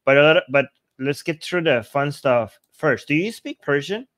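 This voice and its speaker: A man's voice: on the high side, expressive, normal speed, a bit bright, no room sound, studio quality. A man, hosting a casual interview and often restarting his sentences. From Iran. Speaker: host. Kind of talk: casual video-call interview.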